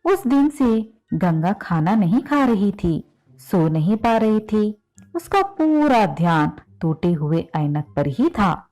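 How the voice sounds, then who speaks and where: Slow-paced woman storyteller. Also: medium pitch, very animated, darkish tone, soft background music. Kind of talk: scripted children's story narration.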